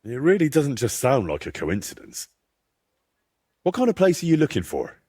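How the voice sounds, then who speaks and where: An actor playing a plain-spoken, sceptical young medieval adventurer in a video game, with a down-to-earth, conversational manner. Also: male voice, mid-range voice, huge pitch swings, moderate pace, warm tone, slightly rough. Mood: unconvinced. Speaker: protagonist of a game. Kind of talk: video game cutscene dialogue.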